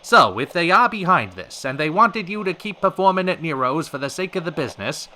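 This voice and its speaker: A man playing a short-tempered, wisecracking talk show host in an old-time radio drama, fast-talking in theatrical period slang. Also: fairly high pitch, sing-song, pushed and bright. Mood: probing, wary.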